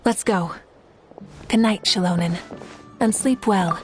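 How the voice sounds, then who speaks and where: A woman's voice: medium pitch, takes it slowly, close to an everyday voice. A woman voicing a confident, composed and playfully wry young leader in a video game, speaking with assurance. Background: game music.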